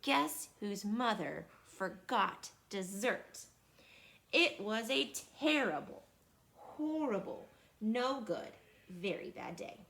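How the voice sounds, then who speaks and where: A woman reading a children's picture book aloud expressively, with dramatic emphasis and drawn-out phrases. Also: mid-range voice, sing-song, creaky, a bit bright, a little hiss or hum. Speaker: storybook reader.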